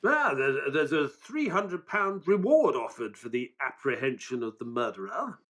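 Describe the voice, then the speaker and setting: An actor playing an affable, mild-mannered Victorian doctor who narrates a detective audio drama and joins in the dialogue. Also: male voice, mid-range voice, very animated, moderate pace, fairly bright tone. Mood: earnest.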